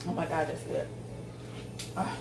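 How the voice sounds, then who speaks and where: A woman's voice: unhurried, reverberant, recorded from a distance, very noisy. A woman in her forties chatting casually while she cooks at home, rambling through tangents with lots of ums. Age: 40s. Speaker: home cook vlogger. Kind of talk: casual chat while cooking. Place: home kitchen.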